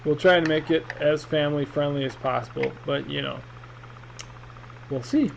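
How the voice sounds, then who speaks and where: A man's voice: a little high, steady tone, normal speed. A man giving a casual, rambling, hesitant product review in an unboxing vlog, speaking off the cuff. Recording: muffled, with clear background noise.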